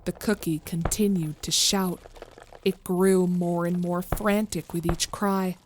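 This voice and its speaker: A woman's voice: lowish voice, background noise. A woman reading scripted narration of a horror story as the narrator.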